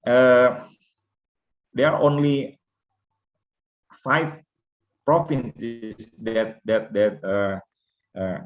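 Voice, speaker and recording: Indonesian-accented male seminar speaker, lowish voice, halting delivery with many "uh"s, dull lo-fi audio. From Manado, North Sulawesi, Indonesia. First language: Manado Malay. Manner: hesitant, many filled pauses and restarts. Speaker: seminar speaker, linguistics researcher. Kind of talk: academic seminar talk.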